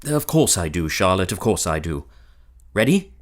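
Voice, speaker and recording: Male conspirator: smooth-talking, coaxing, theatrical melodrama delivery, animated pitch. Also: normal speed. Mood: placating. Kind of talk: melodramatic audio drama acting.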